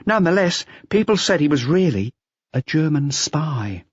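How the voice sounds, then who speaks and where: A man's voice: a little high, very animated, moderate pace, husky, dry recording, muffled recording, voice pushed up. A man voicing a wary local informant passing on gossip in a detective audiobook.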